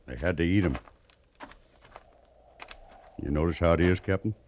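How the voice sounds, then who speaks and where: Man acting a deep-voiced, folksy frontier scout. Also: normal speed, darkish tone, close to an everyday voice. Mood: resigned. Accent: American, rustic Western. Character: folksy, wary male frontier scout living among the Arapaho. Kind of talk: old-time radio western acting. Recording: noisy vintage radio recording with clicks.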